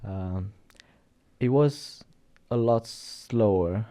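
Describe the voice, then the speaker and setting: Romanian guy, lowish mellow voice, chatty storyteller on a podcast. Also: extremely slow. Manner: chatty, storytelling, frequent restarts and "right?" tags. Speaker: guest. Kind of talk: casual interview chat.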